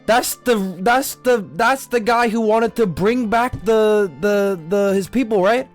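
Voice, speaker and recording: A man's voice: high-pitched, unhurried. A man livestreaming a game with casual, sweary, slangy commentary, often repeating his words. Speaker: streamer. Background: game music.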